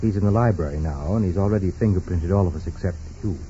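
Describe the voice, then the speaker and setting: Male actor as deferential secretary, deep very mellow voice, polite, old radio drama, muffled sound. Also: fast talker, natural voice. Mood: polite, apologetic. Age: 30s. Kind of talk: 1940s radio acting. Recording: muffled, noisy 1940s radio recording.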